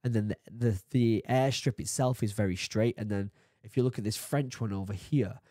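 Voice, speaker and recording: A man in his thirties from the UK, a bit hoarse from being sick, chatting casually as he reacts to a video and reads labels aloud, excited now and then. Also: on the low side, normal speed, no room sound, clean studio sound. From United Kingdom. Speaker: YouTube reaction host. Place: home study with bookshelves. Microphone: studio mic on boom arm, headphones.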